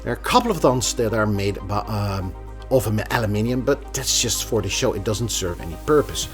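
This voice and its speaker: Man reviewing gear with lively intonation. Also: fairly low pitch, fairly quick, faint music behind. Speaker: tech reviewer, presenter. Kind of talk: scripted product review with casual asides.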